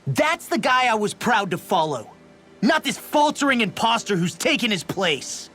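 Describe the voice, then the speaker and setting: Hot-headed young fighter in an anime game, high voice that jumps all over, cocky and loyal. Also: male voice, big pitch swings, moderate pace, sharp tone, exaggerated voice. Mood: frustrated, challenging. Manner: blunt, cocky, informal. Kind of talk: dubbed anime-style game voice acting. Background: background music.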